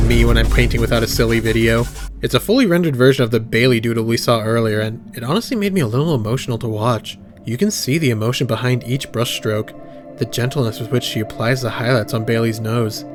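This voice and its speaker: Low-voiced man, casual sweary commentary over music. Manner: informal, wry, sweary. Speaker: video essayist and reactor. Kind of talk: casual horror series commentary with live reactions. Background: background music.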